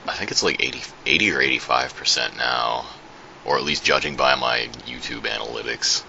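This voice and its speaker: A man with a deep, bassy voice in casual podcast chat, speaking calmly and without much animation, recorded on a home mic. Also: fairly quick, gravelly, a little hiss or hum. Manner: calm, not animated. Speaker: podcast co-host, voice actor. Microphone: home USB mic. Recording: dull lo-fi backup audio.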